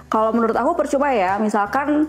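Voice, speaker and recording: Chatty woman in her 30s, light sundanese accent, casual english tutor talk, soft music faint behind. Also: on the high side, fast. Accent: Sundanese-tinged Indonesian. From West Java, Indonesia. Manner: chatty, relaxed, explains with many fillers. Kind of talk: casual interview chat.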